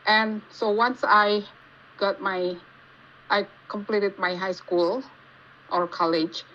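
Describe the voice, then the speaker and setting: A woman with an Indonesian accent giving casual interview answers, haltingly searching for words as she goes. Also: mid-range voice, fairly even intonation, a bit bright. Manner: halting, searching for words in non-native English. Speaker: podcast guest, geologist. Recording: dull lo-fi call with faint noise.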